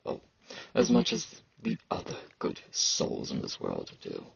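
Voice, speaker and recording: Man playing a deep, gravelly, weary immortal knight. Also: huge pitch swings, dry recording, dull audio, heavily acted. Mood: grim, earnest. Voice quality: gravelly, husky low voice; noticeable sibilant S sounds. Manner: slow, brooding, casual about grim things. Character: weary, aloof, genetically enhanced immortal male fantasy knight. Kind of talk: ASMR roleplay, intimate dramatic monologue.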